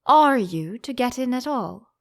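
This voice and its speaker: A woman voicing a solemn, obtuse frog footman in a storybook, slow and literal-minded in his stubborn indifference. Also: on the high side, expressive, no echo, studio quality. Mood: indifferent.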